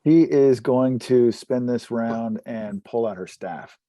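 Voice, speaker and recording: A man's voice: mid-range voice, slow pace, mellow, dry recording. A man playing a tabletop role-playing game, talking casually as he states his moves and rolls.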